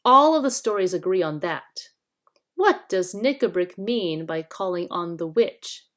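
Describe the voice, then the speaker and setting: A woman voicing a learned male doctor in a storybook, sharp, urgent and protesting. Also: on the low side, lively intonation, measured pace. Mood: alarmed.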